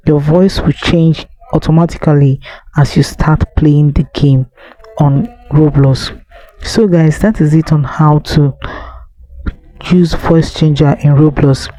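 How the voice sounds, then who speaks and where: A man's voice: a little high, mellow, no room sound, poor audio. A man hosting a tutorial, narrating simple step-by-step instructions in a plain, instructional manner. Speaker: tutorial channel host.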